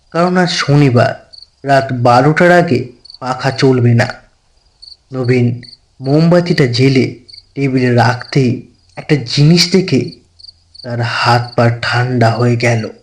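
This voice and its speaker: A man narrating a scripted horror story in a suspenseful, dramatic manner with deliberate phrasing. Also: medium pitch, a little hiss or hum. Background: faint background music.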